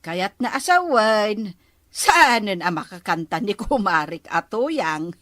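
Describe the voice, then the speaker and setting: Animated, bright-voiced Ilocano neighbour woman acted. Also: medium pitch, slow pace, no room sound. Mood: wry. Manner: animated, given to exclamations. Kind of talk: Ilocano village comedy drama acting.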